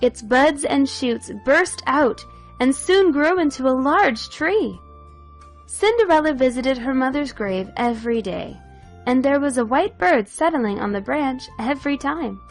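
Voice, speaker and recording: A woman narrating a scripted fairy tale for children in an expressive, measured storytelling manner. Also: high voice, soft background music.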